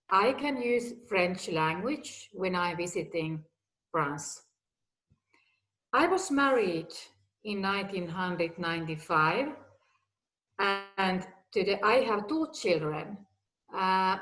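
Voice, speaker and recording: Slow-speaking woman. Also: mid-range voice, a bit bright, rough recording. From Finland. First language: Finnish or Swedish. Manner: slow, deliberate, simple phrasing. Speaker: guest executive. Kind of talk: personal talk.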